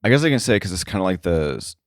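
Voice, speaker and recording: A man talking casual shop as an interview guest, halting and often circling back to rephrase himself. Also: low-pitched, sing-song, no echo, clean studio sound. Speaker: interview guest, sound effects editor.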